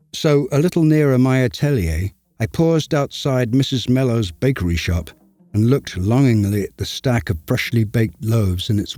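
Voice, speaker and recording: A man narrating a story in the first person, measured and formal. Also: mid-range voice, warm tone, no room sound.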